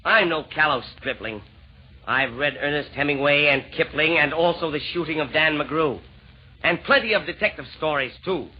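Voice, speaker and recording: Pompous villain emperor played by a man, high-pitched, theatrical rhyming delivery, vintage radio drama. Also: normal speed, natural voice. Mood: menacing, boastful. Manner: theatrical, declaiming in rhyme. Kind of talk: old-time radio verse comedy acting. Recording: dull, noisy vintage radio recording.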